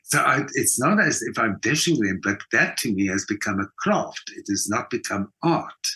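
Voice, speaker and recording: A man's voice: on the low side, lively intonation, normal speed, bright, forceful tone, breathy edge. A South African man speaking emphatically as a conversational interview guest, repeating phrases for stress. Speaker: interview guest, theatre performer and mentor.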